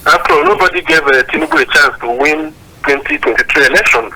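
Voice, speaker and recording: A man with a Nigerian accent speaks over a thin telephone line as a caller to a talk show. His voice is very sharp and a little high-pitched. He argues his political views deliberately and with emphasis. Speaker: phone-in caller. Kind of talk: political opinion on a call-in show. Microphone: phone call.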